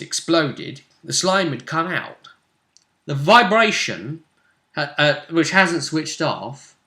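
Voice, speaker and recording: A man's voice: fairly high pitch, bright, forceful tone. A man speaking as game master, casually narrating a tabletop roleplaying game and checking in with his player in a conversational way. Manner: conversational, addresses the player with tag questions.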